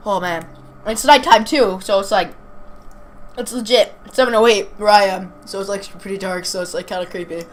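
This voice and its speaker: A woman's voice: mid-range voice, brisk. A young woman casually commenting on a game and reading its text aloud, informal and chatty, stumbling over words now and then. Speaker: gaming video host. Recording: clearly noisy amateur home recording.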